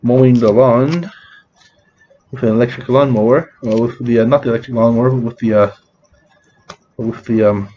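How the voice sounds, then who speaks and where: A man talks in a loose, rambling vlog, his voice a bit scratchy and mellow. He stops and restarts often, full of fillers. The sound is slightly dull with a faint hiss behind it. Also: mid-range voice. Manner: halting, many restarts and fillers. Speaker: vlogger.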